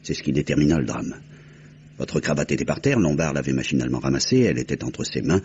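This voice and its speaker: A composed detective with a low voice and a methodical, articulate way of explaining, in vintage French radio drama style. The recording is slightly dull with faint noise. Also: male voice, animated, quick pace, a little mellow. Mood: methodical storytelling. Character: composed, methodical detective recounting a solved murder. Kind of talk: old French radio crime drama acting.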